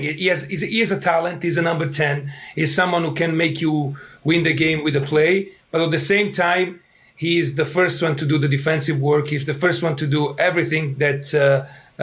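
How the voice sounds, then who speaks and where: A middle-aged man from Italy with an Italian accent, a soccer coach answering interview questions in halting English full of fillers and restarts, his throat a little irritated. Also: a little high, fairly even intonation, a bit bright.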